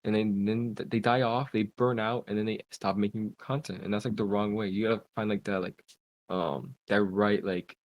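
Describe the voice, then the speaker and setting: A man's voice: fairly low pitch, fairly even intonation, moderate pace, lo-fi sound. A man in his twenties, a podcast guest, telling a long story in a loose, rambling way full of "like". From Cuba, raised in Pennsylvania. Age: 20s. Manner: rambling, heavy use of "like". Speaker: podcast guest, content creator. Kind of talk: casual storytelling. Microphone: podcast call mic.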